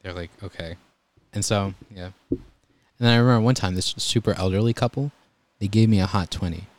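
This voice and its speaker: Young new guy, lowish calm voice, laid back storytelling, gets animated. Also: fairly quick. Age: young adult. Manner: relaxed, calm, animated when telling stories. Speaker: guest, EMT volunteer. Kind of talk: casual storytelling chat.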